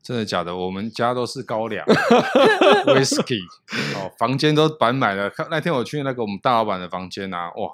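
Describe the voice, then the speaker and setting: Man, podcast host, teasing, jokey, mid-pitched voice. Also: wildly swooping pitch, moderate pace, fairly bright tone. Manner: teasing, jokey, laughs often. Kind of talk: casual chat.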